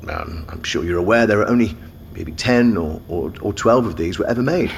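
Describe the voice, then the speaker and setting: A man's voice: lowish voice, expressive, creaky, slight background noise. An actor with an English accent playing a courteous, hesitant male collector in a naturalistic audio drama. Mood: politely bargaining. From England. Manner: hesitant, halting, polite.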